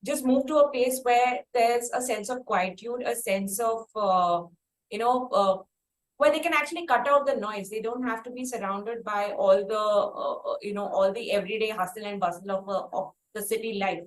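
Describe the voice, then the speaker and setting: A woman with an Indian accent hosting a conversational music interview, speaking in wordy, halting phrases. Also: medium pitch. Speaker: interview host. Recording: echoey room, faint background noise.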